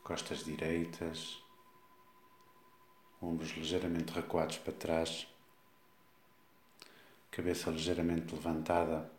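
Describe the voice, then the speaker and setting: A man with a European Portuguese accent guides a body scan meditation, giving slow, calm instructions with long pauses. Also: extremely low pitch. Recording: echoey, reverberant room sound.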